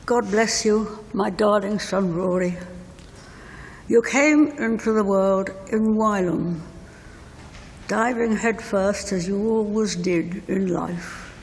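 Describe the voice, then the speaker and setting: An older woman reading a prepared eulogy letter as a bereaved mother, in measured, deliberate phrases. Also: medium pitch, steady tone. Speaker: bereaved mother giving a tribute. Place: inside a church at a funeral service. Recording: reverberant church hall, faint noise.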